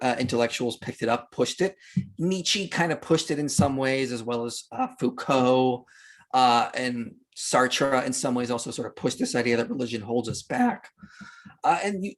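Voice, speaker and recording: A man's voice: medium pitch, husky. A man speaking as a podcast guest, explaining history in a casual, argumentative way with emphatic, dismissive opinions.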